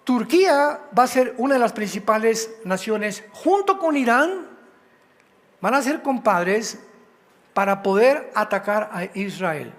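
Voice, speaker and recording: A man's voice: sits high, big pitch swings, unhurried. A Mexican man preaching a sermon-style history lesson, emphatic and a little tired, firing rhetorical questions at the congregation. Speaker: pastor. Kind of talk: sermon teaching. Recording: hall reverb.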